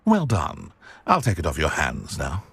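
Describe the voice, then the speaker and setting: A vengeful British wizard trader for a fantasy game. His voice is rough and swings widely in pitch, dry and close. He gloats theatrically as he schemes. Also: wildly swooping pitch, a little slow, a bit bright, no echo, full character voice. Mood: gloating, scheming. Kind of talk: video game voice acting.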